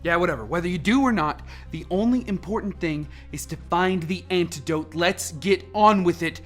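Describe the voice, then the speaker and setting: A woman's voice: fairly low pitch, normal speed, soft background music, natural voice. Testy lead scientist, acted by a woman. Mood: curt, commanding. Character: testy, defensive lead scientist, curt and commanding. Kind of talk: amateur radio play acting.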